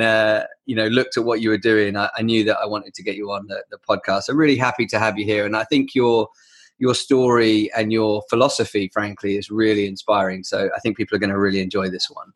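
Enthusiastic podcast host, lowish voice. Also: male voice, fairly bright tone. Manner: enthusiastic, repeats himself, quick bursts. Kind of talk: casual interview chat.

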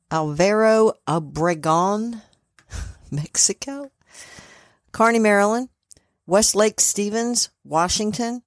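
A woman with a loud voice hosts in a chatty, playful way and reads parts from a script. Her voice sits a little low. The recording is dry and close. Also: dry recording. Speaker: podcast co-host and spirit communicator. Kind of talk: casual chat and reading from a script.

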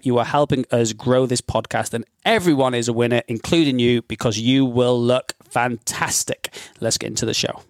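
A man's voice: mid-range voice, no echo, clean studio sound. A man hosting a podcast in an informal, bantering, chatty way. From North Wales, UK. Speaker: podcast host. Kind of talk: casual podcast chat.

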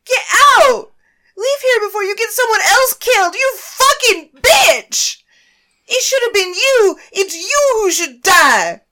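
A woman's voice: extremely high pitch, lively intonation, takes it slowly, bright, forceful tone, pitched above the performer's own voice, heavily acted. A woman reading a story voices a grieving, furious woman who screams accusations, shrieking and near tears. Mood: enraged screaming.

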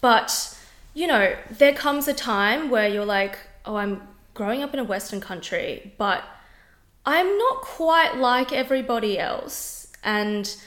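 A woman's voice: fairly high pitch, animated, measured pace, fairly bright tone. A woman hosting a podcast, introducing the show and chatting with a guest in an upbeat way. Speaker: podcast host. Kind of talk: podcast chat and scripted intro.

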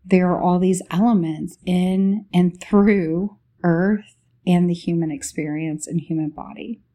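Slow, mellow woman guiding a meditation. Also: lowish voice, steady tone. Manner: unhurried, short phrases with long pauses. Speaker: guided meditation host. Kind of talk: guided meditation, spiritual talk.

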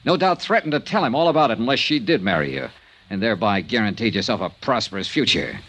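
An actor playing a tough, sardonic insurance investigator in an old-time radio drama, clipped and accusing. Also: male voice, fairly low pitch, animated, fast talker, a bit bright. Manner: clipped, hard-boiled, sarcastic. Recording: hissy old radio broadcast recording.

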